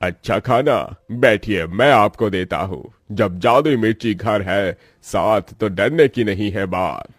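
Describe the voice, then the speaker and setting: A man voicing a kindly magical chilli house in a children's cartoon story, speaking in a slow, declamatory way. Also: on the low side, dry recording, clean studio sound. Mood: generous, grand. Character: magical talking chilli house, male, kindly, reassuring provider.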